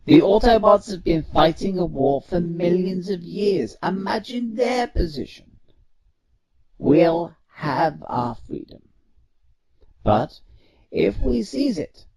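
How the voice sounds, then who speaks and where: A persuasive robot activist voiced by a man speaks in an audio drama with earnest deliberation. The voice sits a little high and moves slowly. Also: vocal fry, darkish tone, heavily acted. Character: measured, pleading male robot activist leader.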